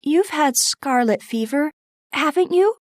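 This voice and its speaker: A woman voicing a gentle, shy young girl who speaks softly and anxiously, in a storybook reading. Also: animated, measured pace, dry recording, studio quality. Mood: urgent. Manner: soft, anxious, higher-pitched.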